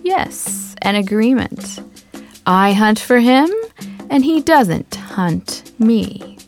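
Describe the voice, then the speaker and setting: A woman voicing a sly, hungry male hyena in a low, breathy, menacing voice that drops to a whisper. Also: expressive, drawn-out words, soft background music, exaggerated voice. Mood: sly menace.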